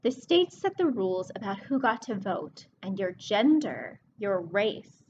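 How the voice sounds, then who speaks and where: A woman librarian reading a picture book aloud to children, expressive and explanatory, pausing to speak to her young listeners. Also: mid-range voice, dull audio, a little hiss or hum. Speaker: children's librarian hosting story time.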